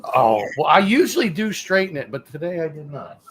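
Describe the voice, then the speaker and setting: A man's voice: on the high side, sing-song, fairly quick, slight background noise. A man talking casually as a panelist on a chat show, with a dry, joking manner.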